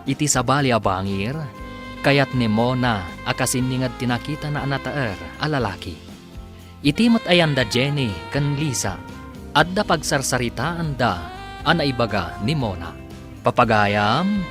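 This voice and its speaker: A man with a Filipino accent narrating a scripted radio drama serial in a theatrical announcer's delivery. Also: medium pitch.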